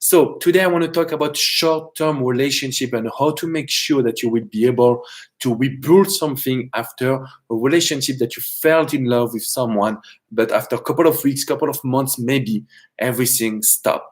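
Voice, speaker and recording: A man's voice: mid-range voice, normal speed. A man with a strong French accent, a relationship coach giving advice on a live stream and greeting viewers by name.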